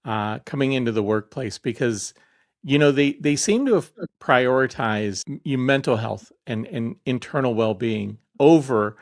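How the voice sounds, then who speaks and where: A man's voice: medium pitch, normal speed. A man hosting a business podcast, chatting casually and introducing his guest, with frequent hesitations. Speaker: podcast host. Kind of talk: interview conversation.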